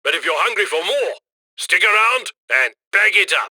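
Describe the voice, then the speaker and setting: A man's voice: high voice, animated, moderate pace, sharp tone, dry recording, heavily acted. An actor playing a cocky, jovial heist mastermind in a video game, chummy and theatrical as he guides his crew over radio comms. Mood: gleeful, greedy. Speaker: mission handler voice in a game. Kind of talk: scripted video game mission voice lines.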